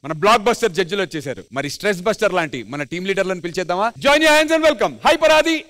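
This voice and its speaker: A man presenting a TV dance show with emphatic, high-energy announcing and comic banter. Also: animated, fast, fairly bright tone. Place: TV studio stage. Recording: music bed behind stage voice.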